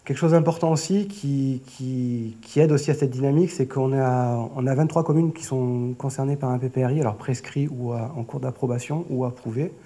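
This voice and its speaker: A man in his thirties with a French accent from France gives a conference talk at a lectern microphone. His voice sits at a medium pitch with a warm, rounded tone. He presents semi-improvised, hesitating and often restarting or rewording phrases. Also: fairly even intonation, brisk, hardly any pauses. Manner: hesitant, often repeating and rephrasing words. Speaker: flood prevention project manager, conference speaker. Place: auditorium stage at a lectern. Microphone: lectern gooseneck microphone.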